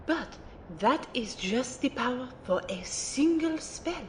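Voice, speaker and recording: A woman's voice: expressive, takes it slowly. A woman playing a refined, playful magic mentor in an audio drama, formal and precise as she teases and lectures. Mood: lecturing. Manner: formal, precise, teacherly phrasing. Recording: roomy amateur recording, faint rumbling noise.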